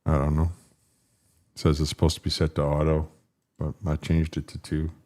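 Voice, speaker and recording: Super deep rumbly guy livestreaming, kinda frustrated, rambly casual chat. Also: monotone, normal speed, a little mellow. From Canada. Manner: frustrated, rambling about stream settings. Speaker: political livestream host.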